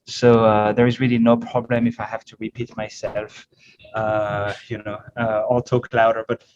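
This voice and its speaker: A man's voice: fairly low pitch, level tone, normal speed. French-accented man on a noisy, muffled call. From northern France. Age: middle-aged. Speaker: guest speaker, startup CEO. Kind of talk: informal guest talk to a class. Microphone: video call microphone.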